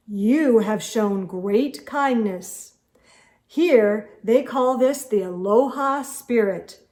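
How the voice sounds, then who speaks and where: A woman voicing a kindly, wise old Santa in a children's storybook, measured, earnest and reassuring. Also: on the high side, darkish tone.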